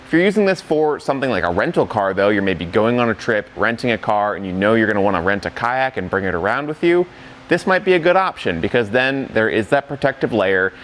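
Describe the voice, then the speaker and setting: A man's voice: on the low side, expressive, fairly quick, a bit bright, slight background noise. Young man candidly reviewing a product. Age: young adult. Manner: plain-spoken, candid, walks through details. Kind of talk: product demo video.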